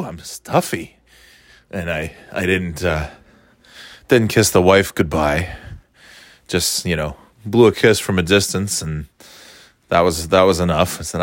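A man's voice: low-pitched, no echo. Rambling middle-aged man, dry close mic. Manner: meandering, self-interrupting, playfully excitable. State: slightly stuffy nose. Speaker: podcast host. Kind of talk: rambling solo chat.

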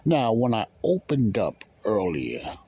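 A man gives an informal solo teaching talk as a podcast host. His voice is fairly low and rough-edged, and he takes his time. The sound is close and dry. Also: wildly swooping pitch, slow, mellow, no room sound.

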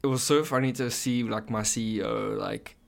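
Man, medium pitch, gravelly fry, podcast co-host, casual sweary storytelling, animated quote-acting. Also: a little fast. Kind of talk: casual chat, swearing, telling anecdotes.

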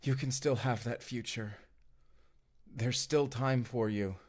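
A man's voice: medium pitch, steady tone, slow. A man acting as a devoted, sweetly awkward young romantic in an immersive audio roleplay, speaking in an earnest, hesitant, conversational way. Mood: dying, tender.

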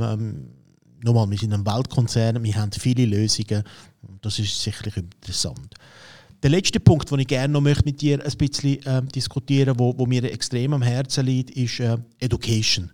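A man's voice: medium pitch, fairly even intonation, moderate pace, darkish tone. A man with a Swiss German accent hosting a business conversation, asking questions with frequent filler sounds. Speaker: host.

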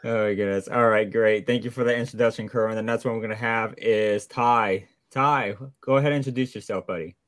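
A man's voice: fairly low pitch, fairly even intonation, fairly quick, hardly any pauses. A man hosting a casual community Q&A, upbeat and chummy, calling guests buddy and man. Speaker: host.

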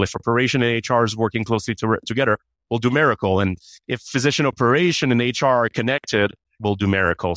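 A man's voice: lowish voice, fairly bright tone, no room sound. A man from Canada, answering questions as a guest in a business interview, earnest and often repeating words as he restarts. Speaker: guest, chief people officer.

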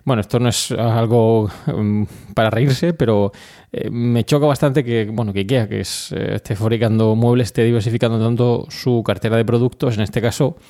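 A man's voice: mid-range voice, fairly even intonation, normal speed, dark tone. A man with an accent from Spain hosting an informal solo podcast, explaining things and speaking directly to his listeners. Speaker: podcast host.

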